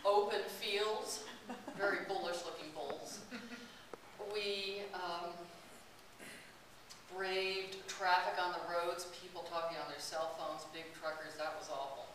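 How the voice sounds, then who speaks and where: Woman speaker, chatty storyteller, somewhat low voice, distant mic with steady hiss. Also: takes it slowly. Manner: storytelling, chatty, laughs often. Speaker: speaker giving a talk. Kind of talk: personal travel talk to an audience. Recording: distant, roomy, hissy recording.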